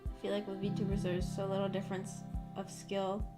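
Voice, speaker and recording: A woman's voice: medium pitch, monotone, normal speed. A woman streamer talking casually to her viewers in a rambling, hesitant way, thinking aloud as she goes. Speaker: VTuber streamer chatting with viewers. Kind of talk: casual livestream chat. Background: background music.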